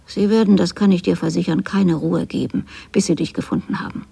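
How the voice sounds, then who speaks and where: A middle-aged woman acting a bitter, reproachful mother in a radio drama, speaking precisely and theatrically in a husky, creaky voice. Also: on the low side, a little fast, mellow, full character voice. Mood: vindictive, accusing.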